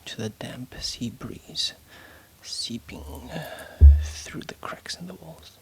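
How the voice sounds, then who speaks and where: A man's voice: medium pitch, animated, slow pace, vocal fry. A man whispering as he reads and edits a story aloud, with hesitant fillers and self-corrections. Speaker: writer editing a story aloud. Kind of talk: whispered ASMR story editing.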